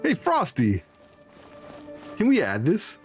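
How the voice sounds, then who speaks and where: A man's voice: high-pitched, wildly swooping pitch, slow pace, soft background music. A man chatting casually as a livestreamer, in an amused way, often repeating himself. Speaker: livestreamer playing a game.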